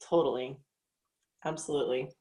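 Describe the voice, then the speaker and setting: A woman's voice: extremely low pitch, steady tone, normal speed, dark tone. A woman hosting a casual live training chat, talking in an enthusiastic, gushing way. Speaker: host of a team training live.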